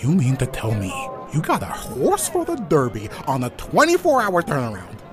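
An actress playing a flustered, sarcastic rookie detective in a comic audio drama, exasperated and excitable. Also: female voice, low voice, moderate pace, over music. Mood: skeptical. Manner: exasperated, excitable, deadpan retorts.

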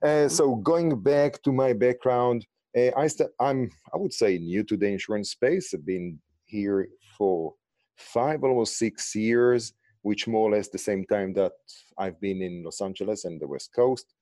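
A man with an Israeli accent speaks on a close, dry mic, his low voice mellow and relaxed. He talks with animated leaps in pitch on stressed words and often restarts his sentences. It sounds like a podcast interview guest. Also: unhurried, dry recording. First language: Hebrew. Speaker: guest, insurtech founder.

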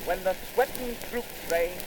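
A man giving an old-fashioned theatrical poetry recitation, declamatory, his voice swooping sharply upward on shouted lines, heard on a crackly 1919 phonograph recording. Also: high voice, normal speed.

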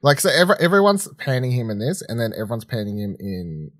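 A man's voice: mid-range voice, very animated, fast talker, no room sound, studio quality. A man co-hosting a podcast, chatting casually in a wry, joking manner. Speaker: podcast co-host. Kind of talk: casual chat.

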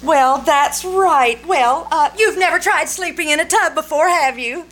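Casting: woman playing a very high-pitched bossy young wife, sarcastic and insistent, vintage radio comedy. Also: a little slow, a bit bright. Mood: mock sweetness. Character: bossy, sharp-tongued bickering young wife. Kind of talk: old-time radio comedy acting.

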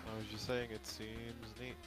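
A man's voice: lowish voice, flat delivery, moderate pace, over loud music. A man hosting a podcast, chatting about video games with dry, understated humor. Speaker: podcast host.

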